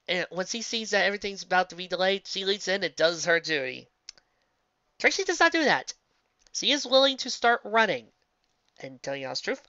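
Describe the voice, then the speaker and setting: A woman's voice: mid-range voice, fairly bright tone, dry recording. A woman giving a casual, chatty review to an audience, speaking emphatically with frequent restarts. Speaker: fan fiction reader and reviewer. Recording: dull lo-fi dry close recording.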